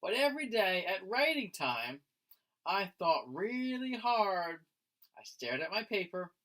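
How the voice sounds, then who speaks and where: A man reading a children's picture book aloud like a teacher, in an expressive, dramatic manner. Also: sits high, slow pace, low-quality recording. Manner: dramatic, acting out the lines.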